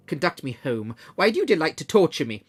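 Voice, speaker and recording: Audiobook role: narrator voicing an old miser man, shouting pleas, high and loud. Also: sing-song, a little fast, slightly rough, faint music behind. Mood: anguished. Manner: pleading, crying out.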